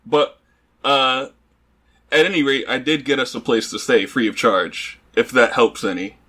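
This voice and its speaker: A man's voice: mid-range voice, steady tone, unhurried, sharp tone, husky. A man playing a tabletop roleplaying game, speaking casually and conversationally in character.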